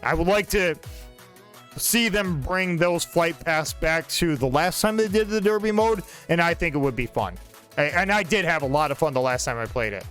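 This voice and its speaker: A man's voice: on the high side, animated, moderate pace, fairly bright tone, faint music behind. A man in his forties casually commenting on his own gameplay, rambling and joking with a friend over a desk mic. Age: 40s. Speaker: gaming channel host.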